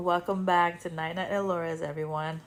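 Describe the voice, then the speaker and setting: A woman's voice: fairly low pitch, fairly even intonation, brisk, heavy background noise. A woman with an Italian accent, a native Italian speaker, hosting a podcast with casual personal storytelling in a chatty, self-deprecating manner. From Italy. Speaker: podcast host.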